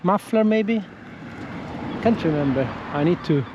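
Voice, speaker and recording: Slow hesitant Italian-accented man outdoors. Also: high-pitched, expressive, dull audio, background noise. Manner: hesitant, frequent restarts and self-corrections. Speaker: vlogger. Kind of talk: casual walking vlog. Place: outdoors, walking in wind. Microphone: action camera with windscreen.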